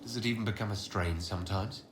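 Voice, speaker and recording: A man playing a composed, inquisitive fantasy leader in a video game, measured and courteous. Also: on the low side, noisy background, natural voice. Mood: composed, inquiring. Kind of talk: video game dialogue acting. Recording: roomy sound, music behind.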